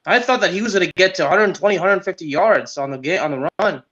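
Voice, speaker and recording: A man's voice: high voice, fast talker, a bit bright. A man co-hosting a sports podcast, giving casual commentary on games. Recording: dull, lo-fi sound.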